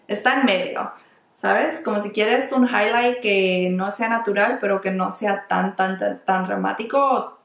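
A young woman reviewing makeup in a casual, chatty way, often restarting words and slipping into English terms. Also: medium pitch. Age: young adult. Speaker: beauty vlogger. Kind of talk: casual product review while applying makeup. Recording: echoey small room.

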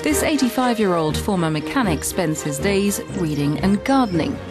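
A woman reporter reading a scripted news report in a measured, articulate way. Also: on the low side, expressive. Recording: voice over music bed. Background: music.

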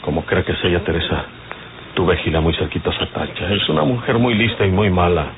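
Very deep wary bandit, tinny. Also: male voice, lively intonation, fast talker. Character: gruff, wary male bandit leader in a western. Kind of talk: old radio western acting. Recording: tinny old radio recording, faint noise.

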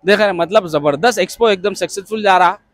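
Hyderabadi man in his thirties fronting a vlog, high-voiced and playful, handheld mic. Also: a bit bright. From Hyderabad, India. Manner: jokey, teasing, quick to laugh. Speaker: vlogger host. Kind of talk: casual vlog chat. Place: crowded indoor expo hall and outdoor food stall.

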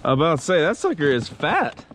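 A man's voice: a little high, a little fast, slight background noise. A man talking casually through his fishing trip from a kayak on a swampy creek. He records on a head-mounted action camera and swears freely as he goes. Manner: relaxed, chatty, swears freely. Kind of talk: casual narration while fishing.

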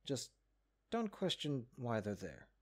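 A man's voice: medium pitch, wildly swooping pitch, slow, darkish tone, exaggerated voice. A man voicing a haughty, exasperated magic book in a comedic audio roleplay, condescending and sarcastic. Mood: scheming, coaxing. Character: exasperated, haughty talking cursed grimoire, a sentient magic book.

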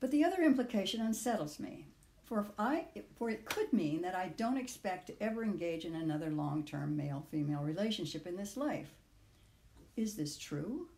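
An older woman in her sixties or beyond reads her own reflective personal essay aloud in a measured, literary way, with deliberate phrasing. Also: lowish voice, animated, gravelly, darkish tone, echoey room. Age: 60s or older. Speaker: author reading own audio essay.

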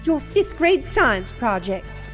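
A woman's voice: high voice, sing-song, slow pace, fairly bright tone, soft background music, heavily acted. A woman playing a theatrical, reproachful psychic in a sci-fi radio comedy, admonishing and dramatic. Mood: reproachful, knowing.

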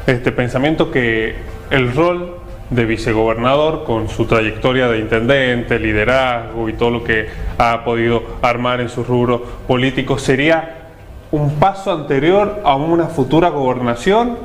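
Man, 30s, TV interviewer, halting wordy questions, mid pitch, faint hum. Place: TV studio, standing.

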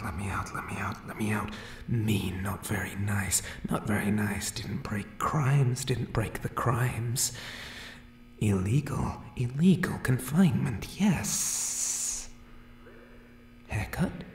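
A man voicing an unhinged, hair-obsessed monster barber in a horror ASMR roleplay, muttering broken, repetitive phrases in a creepy, pleading, breathy whisper. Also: fairly low pitch, reverberant, slight background noise. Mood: agitated, pleading.

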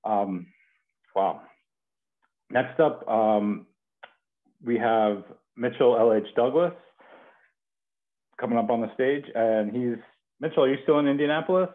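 A man around forty casually hosting a reading in a halting, reflective way, over a slightly dull video call. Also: mid-range voice. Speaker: host of a poetry reading series. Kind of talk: casual, unscripted introduction. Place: home kitchen. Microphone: headset on a video call.

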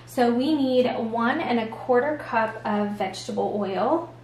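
A woman's voice: a little high, measured pace. A woman giving a casual, friendly and chatty recipe walkthrough as a cooking vlogger. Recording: echoey room, music underneath. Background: background music.

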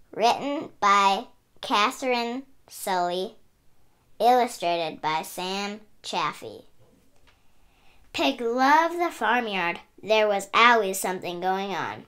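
A woman's voice: medium pitch, animated, slow, a bit bright. A woman hosting a children's read-aloud, narrating expressively in a sing-song, emphatic way. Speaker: story reader and channel host.

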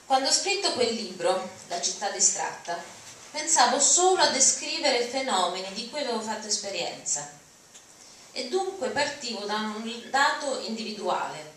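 Echoey, bright-voiced woman reading. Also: fairly high pitch, very animated, normal speed. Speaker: reader of literary texts. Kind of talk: dramatic reading of prose. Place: auditorium, at a lectern before an audience. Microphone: lectern mic, recorded from far camera. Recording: very echoey hall, distant mic, noisy.

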